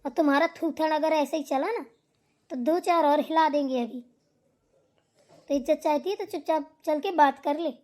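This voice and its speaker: Woman playing a high-pitched bossy elder sister-in-law. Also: moderate pace, long pauses, natural voice. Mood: threatening, scornful. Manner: hectoring, sharp-tongued, preachy. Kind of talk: Hindi family drama cartoon dialogue.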